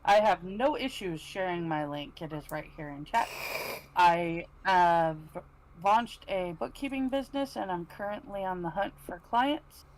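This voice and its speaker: A woman's voice: lowish voice, expressive, unhurried, darkish tone, low-quality recording. A woman speaking casually as a co-host on a livestream. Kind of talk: casual chat.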